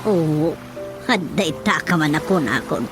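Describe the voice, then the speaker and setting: A woman's voice: deep voice, lively intonation, moderate pace. A woman with a Filipino accent playing a nagging, gossipy chairman's wife in a radio drama, pressing and scolding. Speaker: actor. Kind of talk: Ilocano radio drama comedy acting. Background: music.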